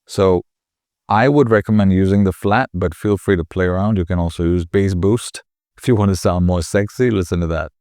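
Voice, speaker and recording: Man, low voice, dark tone, slightly nasal, chatty rambling tutorial host. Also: normal speed, no echo, studio quality. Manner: chatty, rambling, self-correcting. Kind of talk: casual screen-recording tutorial.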